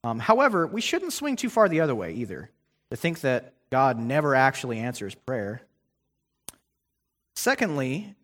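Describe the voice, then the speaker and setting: A young man giving a guest sermon in a conversational way, with many ums and restarts. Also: mid-range voice. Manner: conversational preaching with frequent fillers and restarts. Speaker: guest preacher. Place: church sanctuary. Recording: long hall reverb.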